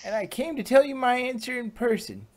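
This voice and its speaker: A man voicing a loving, composed old grandmother unicorn in a storybook, speaking gently. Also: extremely high pitch, fairly quick, pitched above the performer's own voice. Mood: affectionate.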